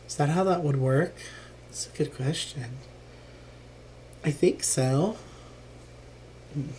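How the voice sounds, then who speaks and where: Unhurried host with a lingering cough, chatty think-aloud game commentary, light room noise. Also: medium pitch, warm tone. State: lingering cough after illness. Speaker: live streamer. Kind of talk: casual live board game playthrough commentary.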